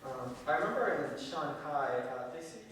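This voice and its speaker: A man's voice: on the high side, expressive, a bit bright, a little hiss or hum. Teenage boy, echoey hall from far off. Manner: halting, full of ums and likes. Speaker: presenter. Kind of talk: informal talk to an audience. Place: senior center hall. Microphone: distant room mic.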